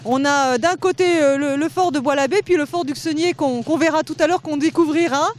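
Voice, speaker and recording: A woman's voice: high voice, a little fast, slight background noise. A woman reporter interviewing people outdoors in a forest, chatty and hesitant with frequent fillers. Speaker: radio reporter.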